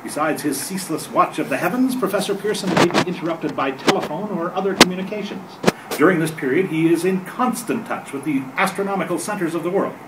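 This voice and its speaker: Man playing an earnest radio commentator, high-pitched, distant. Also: quick pace, close to an everyday voice. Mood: earnest, formal. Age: older. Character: earnest radio commentator reporting live from an observatory. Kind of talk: 1930s radio play read from script. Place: hotel meeting room at a convention panel. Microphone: camera across the table. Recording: distant camera mic, clearly noisy room.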